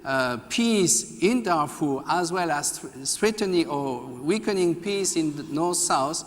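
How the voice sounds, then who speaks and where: Measured analytical man in a reverberant hall. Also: fairly high pitch, lively intonation. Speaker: research fellow on a debate panel. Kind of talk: formal public debate. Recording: long reverb of a large hall.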